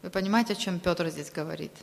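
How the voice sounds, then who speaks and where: A woman interpreting a sermon aloud, delivering it phrase by phrase in a halting way. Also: on the low side, creaky, slight background noise. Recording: long reverberant hall sound.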